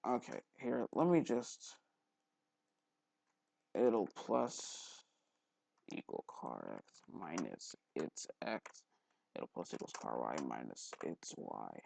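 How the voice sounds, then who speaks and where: A man hosting a casual software tutorial, thinking aloud informally with mild exclamations. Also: very deep voice, wildly swooping pitch, slow, creaky, pauses often, a little hiss or hum. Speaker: tutorial host.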